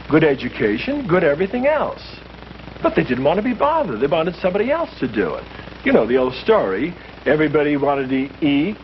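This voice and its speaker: A priest speaking earnestly to a small group, with a lively, preacherly way of stressing his points. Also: on the high side. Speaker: priest leading a discussion. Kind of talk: earnest scripted speech in a 1951 short film. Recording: muffled old film soundtrack with hiss.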